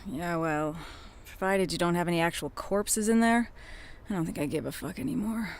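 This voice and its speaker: An actress plays a weary small-town policewoman with a slightly low voice. She is earnest and troubled, speaking haltingly and naturalistically, as in an audio drama. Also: lightly acted. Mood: uneasy. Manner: halting, hesitant, sighing, swearing.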